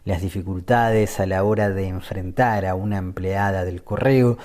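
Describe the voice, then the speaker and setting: A man narrating a radio podcast feature in a measured, scripted read. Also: deep voice, steady tone, a little mellow. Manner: measured, read-aloud delivery.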